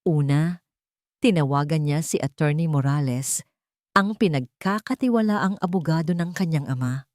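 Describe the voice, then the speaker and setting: Low-voiced woman narrating a dramatic story. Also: moderate pace, a little mellow, dry recording, studio quality.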